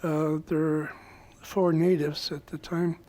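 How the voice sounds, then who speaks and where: Panelist, male, soft voice, higher pitch, unhurried delivery. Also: flat delivery. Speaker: alumni panelist. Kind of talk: personal recollection on a panel. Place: college event room.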